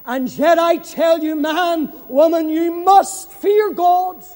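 A man preaching a fervent evangelistic sermon, solemn and emphatic, repeating phrases for effect and swinging between hushed and loud, impassioned delivery. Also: very high voice, fairly even intonation, takes it slowly. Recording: long hall reverb.

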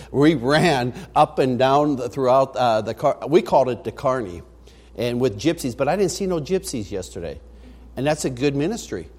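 A man's voice: mid-range voice, a little mellow. A man with a mixed American accent preaching as a missionary, telling chatty stories with many fillers and then preaching with emphasis. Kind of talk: folksy sermon.

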